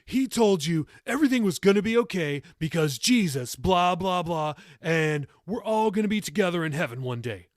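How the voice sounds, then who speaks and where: A man's voice: high-pitched, lively intonation, moderate pace, hardly any pauses, no room sound, clean studio sound, pitched above the performer's own voice. A man voicing an angry, sarcastic seventeen-year-old grandson in an audiobook, sneering and snarling his words. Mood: mocking.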